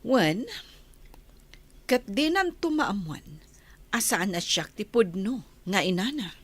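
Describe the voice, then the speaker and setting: A woman's voice: mid-range voice, sing-song, takes it slowly, no room sound. A woman with an Ilocano accent playing a proud, commanding mistress of a mansion in a radio drama.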